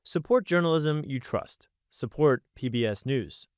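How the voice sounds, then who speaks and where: An American man delivers a scripted appeal as an announcer. His voice is smooth and clean, mid-pitched, and recorded very dry. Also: animated, unhurried, no room sound. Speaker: announcer for a broadcaster. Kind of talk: scripted donation appeal voice-over.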